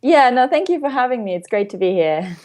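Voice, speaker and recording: A woman's voice: on the high side, sing-song, fairly quick, a little mellow. A woman from Finland with an international upbringing, explaining things casually and chattily as an interview guest and coach.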